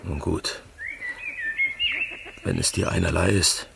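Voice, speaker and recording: Gravelly, reserved gamekeeper. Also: male voice, low-pitched, fairly even intonation, slow pace, very noisy, heavily acted. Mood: uneasy, yielding. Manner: terse, hesitant. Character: reserved, tender male gamekeeper, humble servant lover. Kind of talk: radio drama acting.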